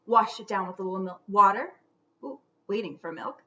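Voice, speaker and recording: A woman reading a children's storybook aloud theatrically, stretching out words and dropping into hushed whispers for suspense. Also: mid-range voice, a little fast, muffled recording.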